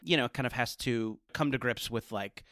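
A man's voice: mid-range voice, moderate pace, fairly bright tone, no echo, clean studio sound. A middle-aged American man chatting casually as a podcast guest, rambling along in an informal, self-deprecating way. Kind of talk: casual interview chat.

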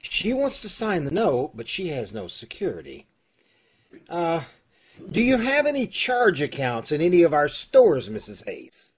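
A man acting a flustered, exasperated loan officer in an old-time radio comedy, with a husky voice. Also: fairly high pitch, huge pitch swings, a little slow, full character voice. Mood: bewildered. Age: middle-aged. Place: indoors, on a video call. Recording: home video-call recording.

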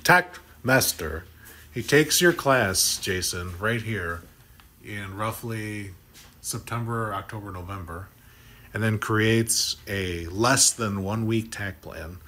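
A middle-aged man hosts a podcast from an office meeting room, speaking into a desk microphone. His voice sits a little low. He talks in an upbeat way and jokes with his guest. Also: slow pace, pushed and bright. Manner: upbeat, joking, teasing. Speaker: podcast host. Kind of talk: lively on-site podcast chat. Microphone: desk podcast mic.

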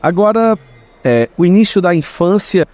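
A man's voice: high-pitched, expressive, normal speed, a little mellow, no room sound. A man in his thirties hosting a podcast, asking short questions and giving brief prompts to his guest. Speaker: podcast host. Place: podcast studio inside an exhibition hall. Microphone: studio mic on a boom arm.

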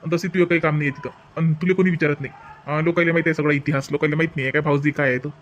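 A man's voice: on the high side, fairly even intonation, rapid-fire, slight background noise, lightly acted. A man playing a bossy village husband and brother in a comedy cartoon, brusque and repetitive, with a Vidarbha Marathi accent. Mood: dismissive. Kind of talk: exaggerated cartoon family comedy.

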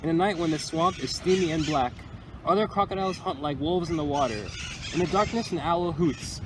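A young man reads a picture book to small children with expressive, deliberate storytelling phrasing, recorded outdoors. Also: on the high side. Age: young adult. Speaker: county environmental employee reading to children. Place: outdoors under a tree by water. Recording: outdoor recording with loud ambient noise.

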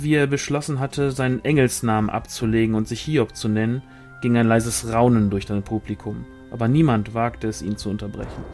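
A man's voice: medium pitch, darkish tone. A man reading a fantasy novel aloud as scripted audiobook narration. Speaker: audiobook reader.

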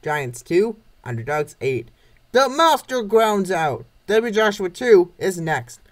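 A man narrates a baseball game as scripted play-by-play. His voice sits fairly high and swings up and down in a very animated way. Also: takes it slowly. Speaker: narrator of a comic dub series.

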